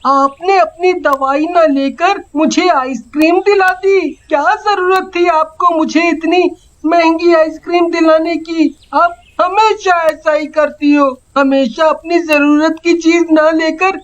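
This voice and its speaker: A woman's voice: extremely high pitch, steady tone, takes it slowly. A woman voicing a small, loving five-year-old village boy in a cartoon story, earnest and childlike, on dull audio. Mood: worried, upset.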